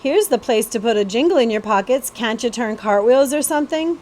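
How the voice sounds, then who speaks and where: A woman voicing a lively, friendly girl in a storybook, with an eager, exclamatory manner. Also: fairly high pitch, a little fast, lightly acted. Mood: curious, exclaiming. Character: Betsy, lively friendly girl who shows a bear.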